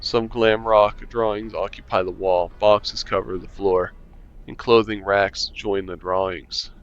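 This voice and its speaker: A man's voice: fairly low pitch, steady tone, measured pace, muffled recording. A man reading a visual novel aloud for a let's-play, chuckling and adding wry asides. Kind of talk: casual let's-play narration.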